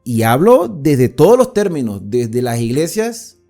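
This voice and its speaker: Man, Venezuelan accent, Bible teacher, warm mellow voice, lively rising and falling pitch, repeats points for emphasis. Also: measured pace, faint music behind. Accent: Venezuelan, from near the Colombian border. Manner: conversational, asks and answers his own questions, repeats points for emphasis. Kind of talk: explanatory Bible study talk.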